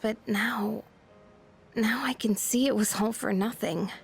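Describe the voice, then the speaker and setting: A woman's voice: mid-range voice, measured pace, creaky, soft background music. An American woman voicing an emotional, bitter, grieving teenage schoolgirl in a video game. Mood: bitter, defeated. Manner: emotional, vulnerable, confiding. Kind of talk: English video game voice acting.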